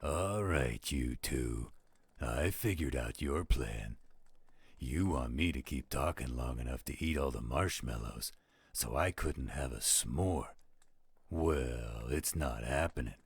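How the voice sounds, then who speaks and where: A man voicing a theatrical, flirtatious werewolf storyteller in an audio roleplay, with a gravelly, husky voice. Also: a bass voice, no echo, heavily acted. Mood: teasing, playful. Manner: slow, dramatic, playful. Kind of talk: audio roleplay acting with dramatic poem recitation.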